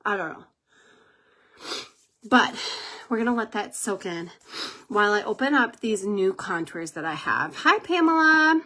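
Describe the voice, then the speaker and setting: A woman with a cold, congested and husky, chatting casually while doing her makeup on a livestream, rambling and laughing often. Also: medium pitch, expressive, unhurried, long pauses, sharp tone. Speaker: makeup live-stream host.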